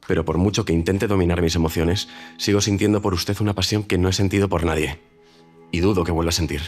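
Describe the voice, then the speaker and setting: An actor playing a proud, reserved young gentleman who earnestly and formally declares his love in a period audio drama. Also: sits low, steady tone, fast talker, soft background music.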